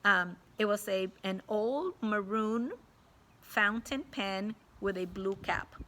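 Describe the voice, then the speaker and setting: A woman teacher reading a story aloud to children expressively, pausing to explain it in simple words. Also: medium pitch, a bit bright, a little hiss or hum.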